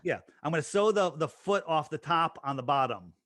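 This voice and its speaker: A man's voice: fairly high pitch, animated, brisk, fairly bright tone, dry recording, clean studio sound. Emphatic man firing rhetorical questions. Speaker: interview guest. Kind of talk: conversational interview.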